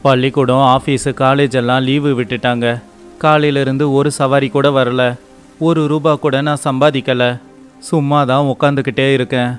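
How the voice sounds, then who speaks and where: A man playing a poor, devout, hardworking rickshaw driver and devoted husband in an animated moral story, earnest, pleading and respectful. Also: mid-range voice, moderate pace, faint music behind, close to an everyday voice. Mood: worried, pleading.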